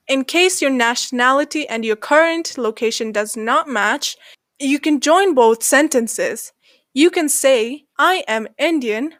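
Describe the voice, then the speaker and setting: A woman narrating an English lesson as the channel host, slowing down to read example sentences. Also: fairly high pitch, fairly bright tone, dry recording, studio quality. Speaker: English teacher.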